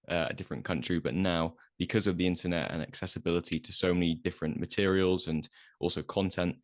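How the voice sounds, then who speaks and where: A man hosting an interview, speaking clearly in a friendly, encouraging way. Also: sits low. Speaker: interview host, English teacher.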